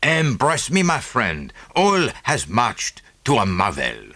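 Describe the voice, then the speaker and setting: A dapper little Belgian detective with a French-speaking accent. He is pleased with himself and lectures with relish, his pitch leaping about wildly, in a close, dry audiobook sound. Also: wildly swooping pitch, slow, bright, forceful tone, dry recording, heavily acted. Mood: delighted. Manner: didactic, punctuated with "eh?" and French phrases. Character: small fussy Belgian detective, self-satisfied, theatrical, tranquil.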